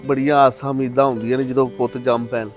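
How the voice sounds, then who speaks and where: A man playing an emphatic, excitable middle-aged father with a Pakistani Punjabi accent in a moral drama. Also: medium pitch, quick pace, soft background music, close to an everyday voice. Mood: hopeful. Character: proud, hopeful father who turns furious. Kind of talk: acted moral-story drama.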